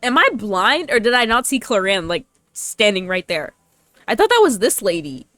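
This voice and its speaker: A woman's voice: medium pitch, big pitch swings, normal speed, a bit bright, no echo. A woman gaming YouTuber giving casual, informal playthrough commentary in a chatty way. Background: faint game music.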